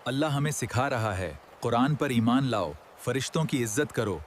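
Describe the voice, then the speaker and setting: A performer playing a patient, earnest religious teacher who explains a scripted children's cartoon lesson in a measured, didactic way. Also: mid-range voice, lively intonation, slight background noise, close to an everyday voice. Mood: earnest, instructive.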